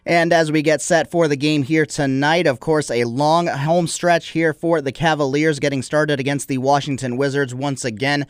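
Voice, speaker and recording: A man's voice: on the high side, steady tone, moderate pace, hardly any pauses, smooth, no echo, clean studio sound. A man hosting a sports radio interview in a casual, chatty, conversational way. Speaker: sports show host.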